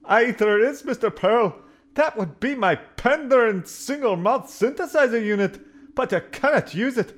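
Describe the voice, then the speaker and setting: Grumbling male ship's engineer, very high pitch, excitable, fussy, sci-fi spoof audio drama. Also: normal speed. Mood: fussy, protective.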